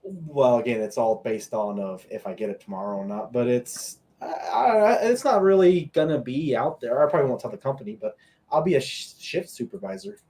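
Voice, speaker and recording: Young man from Colorado, mid-pitched, laid-back and casual, podcast guest. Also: sing-song, brisk, warm tone. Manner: laid-back, swears casually, chuckles at stories. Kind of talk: casual chat.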